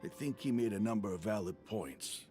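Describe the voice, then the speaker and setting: An actor playing a frank, persuasive desert mercenary leader in a dramatic video game scene, earnest and argumentative. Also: male voice, on the low side, normal speed, mellow, lightly acted. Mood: frank, resolute. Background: music.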